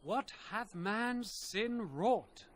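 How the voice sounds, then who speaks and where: A pious chanter in a fantasy game who speaks only in holy verse. The delivery is slow and declamatory, with lively rises and falls over faint ambient noise. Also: male voice, high-pitched, full character voice. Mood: solemn recitation. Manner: sonorous, declamatory recitation. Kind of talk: video game cutscene acting.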